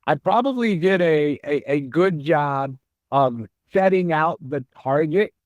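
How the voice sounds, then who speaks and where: A middle-aged man, a chief executive, answering interview questions in a slow, measured and reflective way. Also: a little high, expressive, dry recording, lo-fi sound. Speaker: CEO guest.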